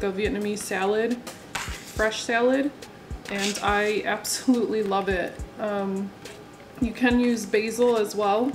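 Relaxed woman narrating her home cooking. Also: mid-range voice. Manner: relaxed, thinking aloud with fillers. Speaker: cooking vlogger. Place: home kitchen. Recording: music under the voice. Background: background music.

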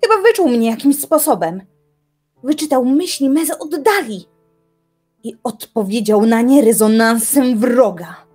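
Brooding young recluse philosopher lady, high voice, slow n dramatic, radio play w music under. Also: lively intonation, darkish tone, slightly rough. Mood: indignant. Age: young adult. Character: obsessive, indignant young recluse woman philosopher. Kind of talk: theatrical radio drama monologue. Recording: music behind the voice.

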